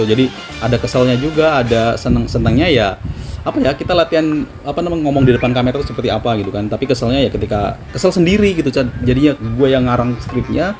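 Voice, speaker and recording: A man with a Javanese accent, a travel vlogger, talking casually and self-deprecatingly to the camera. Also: mid-range voice. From Java. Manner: chatty, self-deprecating, slangy. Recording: music playing underneath.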